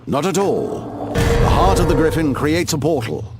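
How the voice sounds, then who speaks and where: An actor playing a grave, knowing magical adviser in a video game, speaking in a cool, portentous way. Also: male voice, on the high side, expressive, unhurried, loud music behind the voice. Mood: cool, instructive. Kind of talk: video game cutscene acting.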